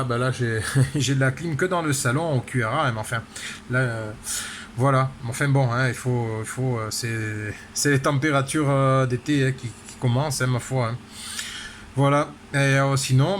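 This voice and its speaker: A man's voice: medium pitch, fairly even intonation, moderate pace, a bit bright. Rambling male radio operator over background noise. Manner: rambling, full of repeated tag words. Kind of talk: casual radio contact chat.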